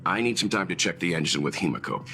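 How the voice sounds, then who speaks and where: A man playing a level-headed, practical crew mentor in a video game, measured and reassuring. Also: low-pitched, very animated, vocal fry, sharp tone, full character voice. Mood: calm.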